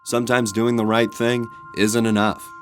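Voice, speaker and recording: A man's voice: mid-range voice, little pitch movement, moderate pace, a little hiss or hum. A man hosting a video essay, reading reflective scripted narration in a casual, sweary way. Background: music.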